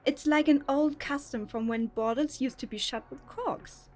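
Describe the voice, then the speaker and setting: An actress playing a bossy, teasing young student sister in an audio drama, with a know-it-all manner. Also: female voice, high-pitched, normal speed, soft background music, close to an everyday voice. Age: young adult.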